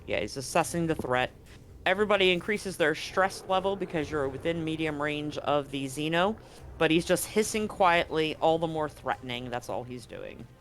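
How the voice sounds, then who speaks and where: A man's voice: fairly high pitch, moderate pace, a bit bright. A man running a live tabletop roleplaying game as game master, talking casually and swearing freely.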